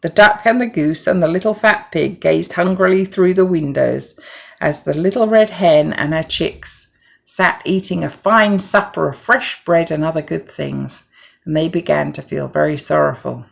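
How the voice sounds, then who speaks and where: A woman reading a children's story as narrator, with an expressive, deliberate storybook delivery. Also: low voice, gravelly.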